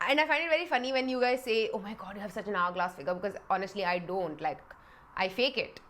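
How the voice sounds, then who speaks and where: A woman's voice: medium pitch, fast, a bit bright. Chatty congested young woman vlogger. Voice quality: nasal. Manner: chatty, frank, laughs often. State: congested, slightly nasal. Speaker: beauty vlogger. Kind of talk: casual chat to camera. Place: home in Dubai.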